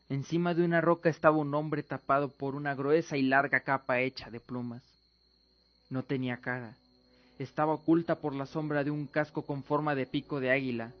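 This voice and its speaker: A man's voice: medium pitch, no echo. Male narrator for fantasy radio drama, dramatic scripted storytelling, a little muffled, music behind. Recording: dull lo-fi with music underneath.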